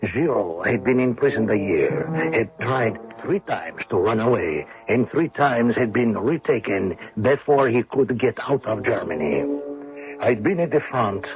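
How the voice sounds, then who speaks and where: An actor playing a grave army officer who narrates an old-time radio drama in a measured, storytelling way. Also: male voice, lowish voice. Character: grave male army officer recounting a wartime escape. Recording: muffled old radio broadcast, music behind.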